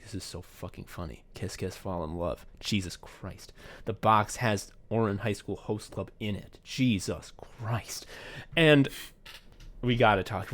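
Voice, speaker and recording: A man's voice: lowish voice, a bit bright. A man co-hosting a casual podcast, swearing freely and telling stories emphatically, acting out bits of conversation as he goes. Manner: profane, effusive, emphatic storytelling; acts out remembered exchanges in dialogue. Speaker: podcast host and audio play writer. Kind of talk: casual podcast chat, retrospective.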